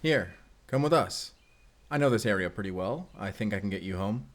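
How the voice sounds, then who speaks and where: An actor playing a wary, blunt young Spanish vampire slayer in an audio roleplay drama. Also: male voice, on the low side, lively intonation, normal speed, lightly acted. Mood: guarded, businesslike. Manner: blunt, guarded. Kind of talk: cinematic audio roleplay acting.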